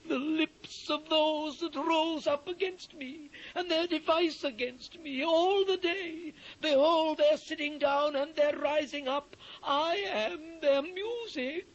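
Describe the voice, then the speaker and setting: A man's voice: very high voice, takes it slowly, hardly any pauses, lo-fi sound. A British man playing an anguished biblical prophet in a dramatised scripture reading, solemn, declamatory and impassioned. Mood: crying out, bitter. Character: anguished male biblical prophet lamenting his ruined people. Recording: faint noise, old cassette transfer.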